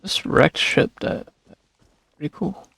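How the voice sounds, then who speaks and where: A man's voice: slow pace, fairly bright tone, dry recording. A man streaming a video game with casual, muttered commentary, sometimes reading text aloud.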